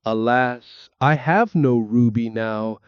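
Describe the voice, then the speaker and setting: A man's voice: mid-range voice, takes it slowly, clear, resonant tone, dry recording, highs cut off, lightly acted. A man voicing a sorrowful, generous prince statue in a storybook, speaking solemnly and imploringly.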